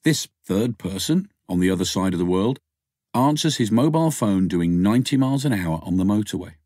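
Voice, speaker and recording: A man's voice: fairly low pitch, expressive, a little fast, darkish tone, no echo, studio quality. A man voicing a thoughtful, teasing woman lover in an audiobook, in an earnest, musing manner.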